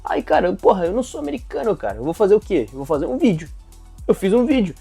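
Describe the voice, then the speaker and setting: A young man from Brazil talks straight to his audience in a loose, slangy rant, cursing freely. His voice sits a little high but sounds warm and mellow. Soft music plays faintly behind him. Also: quick pace, vocal fry, breathy edge. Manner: slangy, profane, animated venting. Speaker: aspiring actor vlogger. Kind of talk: casual talk to camera.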